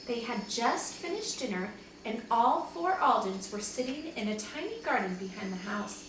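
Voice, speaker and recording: Lively woman reading aloud, very echoey. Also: a little high, moderate pace. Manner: expressive, acts out dialogue with asides. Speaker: second-grade teacher reading aloud to pupils. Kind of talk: children's chapter book read-aloud. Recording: echoey, distant mic, noticeable background noise.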